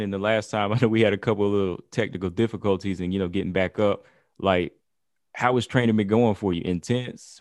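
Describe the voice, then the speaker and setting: A man's voice: low-pitched, no room sound, studio quality. A man hosting his channel, asking casual, rambling interview questions full of fillers.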